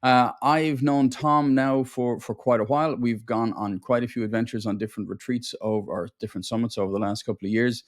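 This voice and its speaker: A man's voice: medium pitch, steady tone, brisk, hardly any pauses. A man from Ireland hosting a casual video-call interview, talking in a friendly, chatty way with plenty of fillers. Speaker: host.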